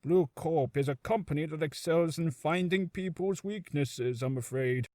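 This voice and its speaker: A man's voice: on the high side, moderate pace, darkish tone, no room sound, clean studio sound, natural voice. A man voicing a pompous, blustering, nostalgic older lawyer in a video game. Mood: wistful. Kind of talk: video game character acting.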